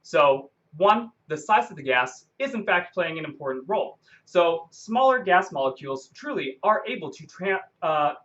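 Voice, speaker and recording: A man giving an informal, unscripted science explanation, speaking haltingly and often repeating and rephrasing himself. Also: a little high, lively intonation, fairly bright tone, dull audio. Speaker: science explainer presenting a video.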